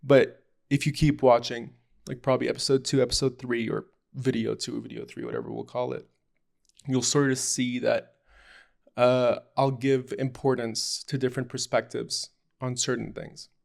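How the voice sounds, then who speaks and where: A man's voice: mid-range voice, level tone, moderate pace, gravelly, darkish tone. A young man from Lebanon introducing himself and his show off the cuff, hesitant and rambling, with many ums and restarts. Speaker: podcast host. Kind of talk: unscripted personal introduction.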